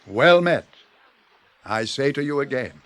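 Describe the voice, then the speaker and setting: A man's voice: mid-range voice, animated, measured pace, a bit bright. An older man acting a grave, commanding old wizard in a theatrical, stately 1980s radio drama style. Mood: grand, commanding. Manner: theatrical, stately, declaiming delivery.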